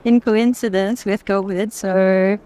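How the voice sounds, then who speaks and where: A woman's voice: medium pitch, steady tone, takes it slowly, warm tone. A woman with a mid-range voice speaks as a curator guiding visitors through an art fair. She talks spontaneously and reflectively, unfolding long explanations a phrase at a time. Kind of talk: unscripted walking conversation about art. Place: busy art fair hall, walking.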